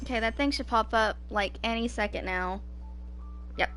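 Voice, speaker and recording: A woman's voice: fairly high pitch, normal speed, fairly bright tone, soft background music. A woman in her twenties chatting casually as she live-streams a game, thinking aloud in an informal way. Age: 20s. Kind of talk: casual live gameplay chat.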